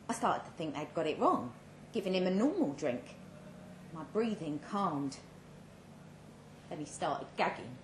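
Casting: female story reader, unhurried and dramatic, slightly low voice, recorded live in an echoing room. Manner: measured, with dramatic pauses. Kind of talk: dramatic first-person narration. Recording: roomy live venue with faint background noise.

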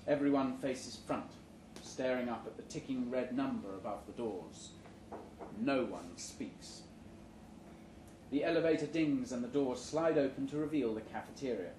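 A man reading a first-person short story aloud at a live event in a measured, dry manner. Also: mid-range voice, warm tone. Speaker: story reader. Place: indoor live reading event before an audience. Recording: roomy live venue, background noise.

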